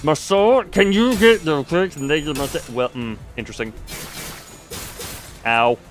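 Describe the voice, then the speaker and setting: A man's voice: fairly high pitch, a little slow. Male streamer, sarcastic and playful, commenting live on gameplay with music underneath. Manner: wry, sarcastic, playful exclamations. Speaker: game streamer. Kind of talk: live gameplay commentary, reading dialogue aloud. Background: game music.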